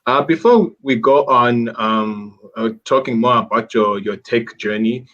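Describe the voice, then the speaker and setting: A man's voice: mid-range voice, measured pace, fairly bright tone. Polite male host, dull call audio. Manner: polite, formal questions with fillers. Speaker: interview host. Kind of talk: online interview. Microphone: video call.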